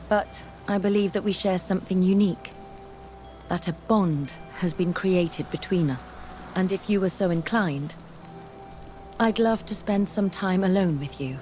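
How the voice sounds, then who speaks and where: An actress playing an earnest, bookish young female scholar in a video game, speaking in a measured, articulate way. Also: lowish voice, lightly acted. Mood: shyly tender. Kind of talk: video game dialogue acting. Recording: music behind the voice.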